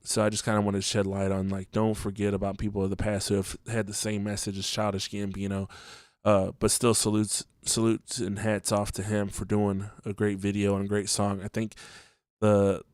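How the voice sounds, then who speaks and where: A man's voice: fairly low pitch, monotone, normal speed, darkish tone, no echo, clean studio sound. A man hosting his own podcast alone, talking casually with lots of ums and restarts, a little stuffy from allergies. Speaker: podcast host. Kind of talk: solo podcast monologue. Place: home, just woke up.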